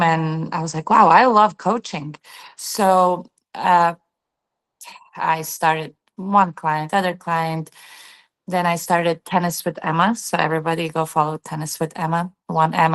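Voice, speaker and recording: A woman in her thirties from Bosnia and Herzegovina, a guest telling her story in a chatty interview, full of "like" and "you know". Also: fairly low pitch. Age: 30s. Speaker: guest, tennis player and coach. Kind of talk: casual interview chat.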